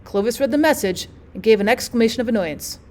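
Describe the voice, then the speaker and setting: Female audiobook narrator, teacher reading literature aloud, voice on the high side. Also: expressive, quick pace, darkish tone.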